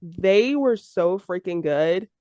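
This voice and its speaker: A woman's voice: on the low side, animated, slow pace, dark tone, no echo, muffled recording. A woman hosting a casual podcast chat, talking with lots of fillers and easy laughter. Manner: chatty, laughing, filler-heavy. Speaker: podcast host.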